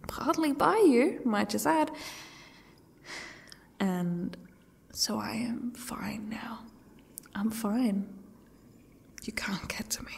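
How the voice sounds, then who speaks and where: A woman playing a defiant, broken, exhausted young captive in an ASMR roleplay, speaking haltingly and often dropping to a whisper. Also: on the high side, expressive, creaky, slight background noise, heavily acted.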